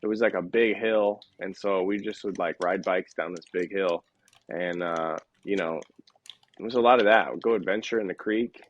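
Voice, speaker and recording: Low-voiced easygoing Midwestern man. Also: fairly even intonation, moderate pace, muffled recording. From American Midwest. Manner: rambling, easygoing storyteller. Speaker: podcast guest. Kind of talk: casual chat.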